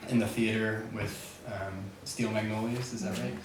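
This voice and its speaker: A man giving an informal, halting tribute to a gathering before he performs. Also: on the low side, fairly even intonation. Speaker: community band conductor and musician speaking at a memorial. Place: echoey back room at a gathering. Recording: very echoey, distant mic, clearly noisy.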